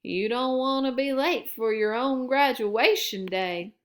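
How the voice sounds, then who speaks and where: A woman voicing a folksy, hearty old grandfather bear in a children's storybook, with drawn-out calls. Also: fairly high pitch, close to an everyday voice. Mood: hearty urging. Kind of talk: children's picture-book read-aloud.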